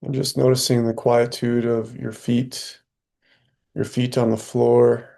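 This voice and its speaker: A man leading a guided meditation, speaking softly and slowly with long pauses between phrases. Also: medium pitch, level tone, creaky. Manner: slow, soft, long pauses.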